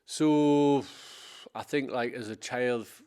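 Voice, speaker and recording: A man's voice: mid-range voice, fairly even intonation, slow pace, warm tone, clear, resonant tone. A man in his forties, from Scotland, talking casually about his childhood as an interview guest, meandering along with many fillers. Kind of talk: casual reminiscing.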